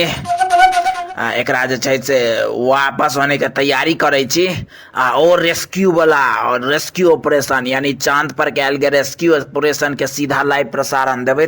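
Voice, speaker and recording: A man's voice: mid-range voice, animated, measured pace, fairly bright tone. A man with a Maithili accent voicing a pompous, easily exasperated scientist boss in a comic cartoon, boastful and scolding. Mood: urgent scolding. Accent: Maithili, Mithila region. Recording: music bed under the voice.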